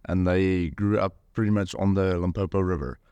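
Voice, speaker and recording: A man in his thirties with a South African accent, a hunter telling his story as a podcast guest in a relaxed, rambling way. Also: low voice, moderate pace, smooth, no room sound, lo-fi sound. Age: 30s. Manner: rambling, many fillers and "pretty much".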